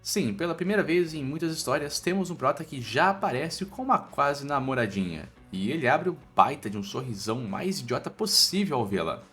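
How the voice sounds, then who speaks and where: A man's voice: a little high, animated, fairly quick, hardly any pauses, fairly bright tone. A man with a Brazilian accent narrating a scripted story recap in an informal, slangy, irreverent way. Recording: music bed under the voice. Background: background music.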